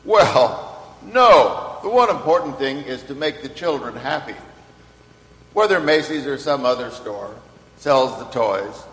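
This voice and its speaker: Man playing a lively, kindly old Santa. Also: mid-range voice, a little slow. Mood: earnest, reassuring. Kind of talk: old-time radio play acting. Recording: echoey hall, faint noise.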